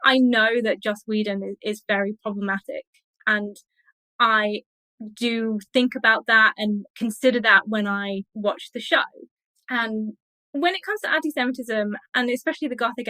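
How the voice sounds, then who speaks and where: A woman giving measured, explanatory answers as an academic guest in a thoughtful interview. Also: fairly high pitch, sharp tone, dry recording, poor audio. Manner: measured, explanatory, uses everyday examples.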